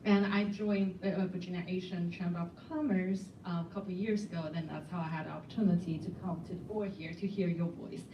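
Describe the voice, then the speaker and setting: A woman's voice: lowish voice, steady tone, fast, few pauses, lo-fi sound, background noise. A woman from China, a small business owner, introducing herself at a meeting in a friendly, chatty way. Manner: friendly, chatty, laughs easily. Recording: echoey room, distant mic.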